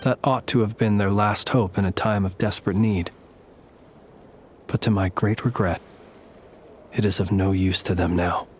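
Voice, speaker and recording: A man voicing a courtly lantern-bearer who lays ghosts to rest, speaking in a formal, measured, poetic way. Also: on the low side, steady tone, slight background noise, close to an everyday voice. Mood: sorrowful. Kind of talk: video game voice acting.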